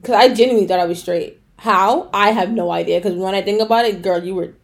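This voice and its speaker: A woman's voice: mid-range voice, fast talker. Chatty young woman with a cold. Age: young adult. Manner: chatty, rambling, lots of "like" and "um". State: has a cold, throat clearing. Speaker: podcast host. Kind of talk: casual solo podcast chat.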